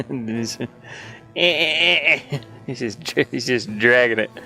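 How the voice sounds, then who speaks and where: A man's voice: mid-range voice, slow pace, gravelly, bright, forceful tone, soft background music. A man casually thinking aloud in an amused, rambling way while commentating on his own game-building screen share. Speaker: indie game developer.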